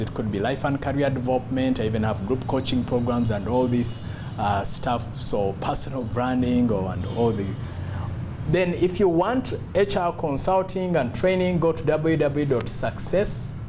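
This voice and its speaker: A man giving an instructional talk as a public speaking coach, emphatic and deliberate, repeating key words. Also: mid-range voice, noisy background. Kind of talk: instructional talk to camera on voice modulation.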